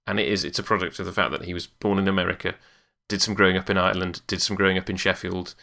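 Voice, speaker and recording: A man's voice: low voice, flat delivery, very fast, gravelly, pushed and bright. A man co-hosting a podcast in casual, hesitant chat. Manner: hesitant, lots of ums and uhs. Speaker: podcast co-host.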